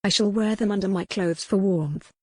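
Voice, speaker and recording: A woman voicing a proud, quick-witted young noblewoman in a medieval romance audiobook, formal and spirited. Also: mid-range voice, darkish tone, slightly rough, dry recording, clean studio sound, natural voice. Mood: guarded. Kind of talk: audiobook character dialogue in historical romance.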